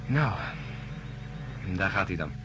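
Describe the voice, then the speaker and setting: An actor playing a seasoned astronaut in a science fiction radio drama, brisk, matter-of-fact and wry. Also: male voice, low voice, animated. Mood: wry, argumentative. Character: seasoned, brisk male astronaut in charge aboard spacecraft. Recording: noisy drama recording with cabin ambience.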